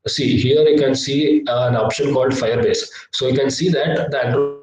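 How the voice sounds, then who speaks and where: A young man teaching a live online coding lesson, hesitant with many filler sounds, his voice slightly low from a cough. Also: fairly even intonation, normal speed. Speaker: workshop instructor. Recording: echoey, distant laptop mic.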